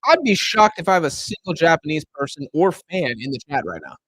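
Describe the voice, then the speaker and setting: A man's voice: fairly high pitch, very animated, fairly quick, fairly bright tone, husky, no echo, low-quality recording. A tired young man hosting a casual live stream, chatting loosely and answering questions from the chat while losing his voice. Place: home room in Argentina.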